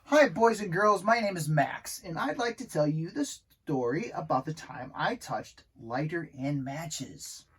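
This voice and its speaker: A man's voice: on the high side, big pitch swings, unhurried, few pauses, breathy edge, full character voice. A man voicing an earnest, childlike young dog in a children's storybook, speaking simply to kids. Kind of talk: children's storybook read aloud.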